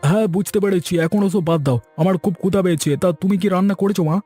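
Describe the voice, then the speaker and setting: A man's voice: high-pitched, steady tone, rapid-fire, warm tone, faint music behind. A man voicing a grown village son in a cartoon, chatty, teasing and full of questions. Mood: hungry, curious. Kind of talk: Bengali cartoon story dialogue.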